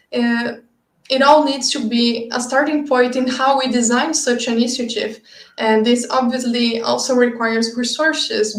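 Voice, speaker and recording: Brazilian woman, portuguese accent, earnest presenter voice, a bit high, roomy echo. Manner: earnest, explanatory, with thoughtful pauses. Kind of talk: online conference talk.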